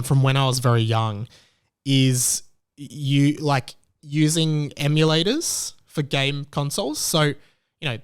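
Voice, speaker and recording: A man, hosting a solo podcast in an excitable, rambling way. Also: mid-range voice, clear, resonant tone. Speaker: podcast host.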